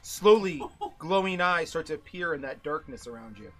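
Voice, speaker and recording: Male game master on a stream, bright and clear, soft music behind. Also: a little high, normal speed. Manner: descriptive, relaxed, checks in with players. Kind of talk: narrating a tabletop game on stream.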